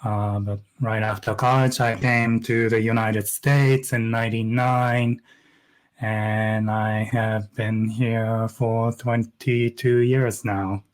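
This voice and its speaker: A middle-aged man from Japan, a knitwear designer speaking softly and carefully as a guest on a video call interview. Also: on the low side, level tone, slow.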